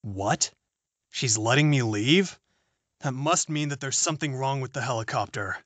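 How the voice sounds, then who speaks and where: A man's voice: medium pitch, normal speed, a bit bright, no room sound, close to an everyday voice. Nervous, suspicious man on the run acted. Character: nervous, self-serving, suspicious male politician on the run. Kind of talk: audio drama acting.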